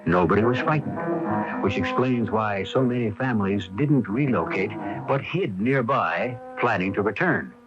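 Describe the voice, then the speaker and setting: Male radio mystery host: low voice, dramatic narration, music behind, muffled broadcast. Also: expressive, a little slow.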